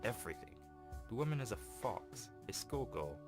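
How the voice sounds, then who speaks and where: A man's voice: medium pitch, very animated, moderate pace, voice pushed up, natural voice. A man voicing a prim, suspicious teenage schoolboy who speaks in a blunt, judgmental way in a visual novel. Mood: disdainful. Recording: voice over game music.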